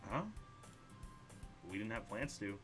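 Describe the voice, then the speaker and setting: A man hosting a video game playthrough, reading dialogue aloud in an animated way, stumbling over words and adding his own side comments. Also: on the low side, slow, music in the background. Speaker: let's play host.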